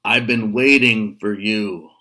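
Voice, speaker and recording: A man's voice: on the low side, fairly even intonation, bright, forceful tone, exaggerated voice. A man voicing a big, hungry, menacing storybook troll who roars and draws out his threats. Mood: roaring, menacing. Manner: roaring, thundering, drawn-out delivery.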